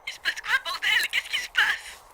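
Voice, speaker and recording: A woman's voice: very high-pitched, normal speed, bright, forceful tone, exaggerated voice. An actress playing an anxious, frightened wife in a naturalistic audio drama, hesitant and repeating her words. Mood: panicked. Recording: background noise under the voice.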